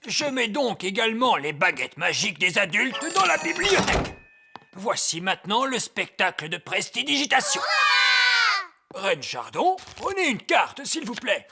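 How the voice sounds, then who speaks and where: A man's voice: high voice, big pitch swings, measured pace, creaky, pushed and bright, a little hiss or hum, exaggerated voice. An actor voicing a fussy old male elf who announces theatrically in a French cartoon dub. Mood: showy. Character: fussy old male elf, wise elder and amateur magician.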